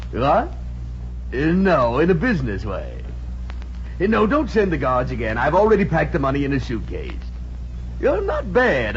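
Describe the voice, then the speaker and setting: A fussy, self-important store manager with a mean streak. His high voice leaps about extravagantly in old radio comedy fashion. The sound is dull with light noise. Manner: exaggerated, drawn-out, pompous. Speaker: actor. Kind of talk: 1950s radio comedy acting.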